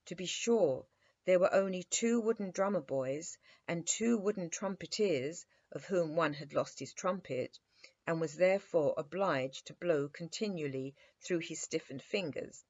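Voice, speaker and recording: A woman's voice: on the low side, measured pace, a little mellow, no echo, muffled recording. A woman storyteller narrating a children's bedtime story expressively.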